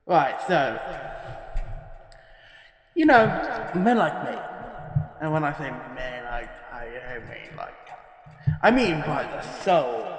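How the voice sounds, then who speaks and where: A man's voice: on the high side, a bit bright. A man playing a boastful, foul-mouthed thug giving a rambling statement in a parody horror podcast. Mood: swaggering, aggressive. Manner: rambling, swaggering, sweary, self-interrupting. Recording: roomy echo with long reverb.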